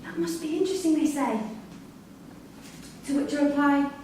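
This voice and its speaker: An actress in a live staged reading playing a disillusioned, confrontational prison worker with an emphatic, pointed delivery. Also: female voice, high-pitched, normal speed, darkish tone, close to an everyday voice. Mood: wry, bitter. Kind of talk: live theatre acting. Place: theatre performance space in Salford. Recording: echoey hall, distant mic, faint noise.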